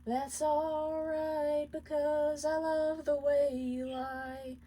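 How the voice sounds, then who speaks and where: Storybook role: heartbroken imp sung by a woman, high, mournful, very slow drawn-out singing. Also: level tone, mellow, faint music behind.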